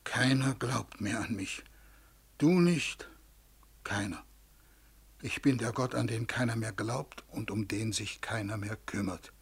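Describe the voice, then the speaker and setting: Weary old God for 1940s radio drama: very raspy, creaking, whining with pity. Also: male voice, on the low side, slow, full character voice. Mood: lamenting. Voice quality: soft, husky. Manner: whining, plaintive. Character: feeble old man as God, sorrowful, pitying, helpless. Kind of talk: 1940s German radio play acting.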